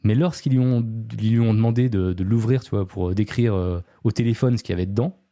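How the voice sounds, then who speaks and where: Male podcast host, low and very warm, relaxed chatty crime storyteller. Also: fast talker, no room sound, studio quality. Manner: relaxed, chatty, frequent fillers and asides to a co-host. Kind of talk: casual true-crime storytelling.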